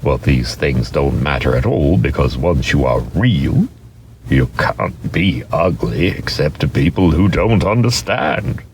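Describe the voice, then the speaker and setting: A man voicing a wise, kindly, very old toy horse in a storybook, explaining things gently and patiently. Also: very deep voice, lively intonation, unhurried, a little hiss or hum, heavily acted. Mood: gentle, wise.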